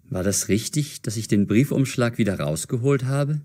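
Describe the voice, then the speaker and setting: A man playing a friendly young man caught in a mystery, speaking clearly and deliberately in an audio drama. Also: mid-range voice, lively intonation, darkish tone, close to an everyday voice. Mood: pondering.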